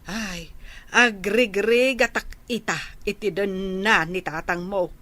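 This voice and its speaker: A woman's voice: medium pitch, fairly even intonation, takes it slowly, pushed and bright. A woman acting a regretful, nagging village mother in a radio drama, with an Ilocano accent. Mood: rueful.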